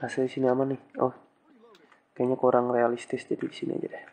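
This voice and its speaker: A man's voice: medium pitch, monotone, quick pace, gravelly, a little mellow, low-quality recording. A young man with a stuffy nose from a cold, giving casual, slangy game commentary with English exclamations. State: has a cold. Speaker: gaming channel host.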